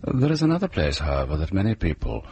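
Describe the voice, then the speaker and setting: An actor playing a sinister, sardonic host of horror tales in a radio drama, theatrical and mock-reassuring. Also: male voice, low voice, huge pitch swings, a little mellow, heavily acted. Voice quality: gravelly, husky. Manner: slow-relishing, mock-reassuring. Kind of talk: theatrical scripted horror introduction.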